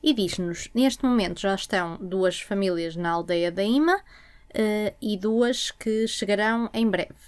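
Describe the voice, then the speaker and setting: Woman, podcast host, smooth resonant tone, composed interviewer. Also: medium pitch, unhurried.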